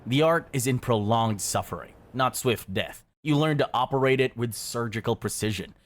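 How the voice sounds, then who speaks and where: Man, mid-pitched, scripted narration, storytelling, measured, matter-of-fact. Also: expressive.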